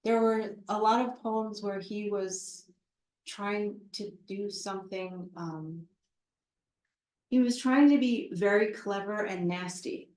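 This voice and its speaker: A woman translator answering questions at a live book talk, thoughtful and halting, with many fillers and restarts. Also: mid-range voice, darkish tone. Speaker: literary translator, interview guest. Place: event room at a literary center. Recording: roomy echo, voice from far off the mic.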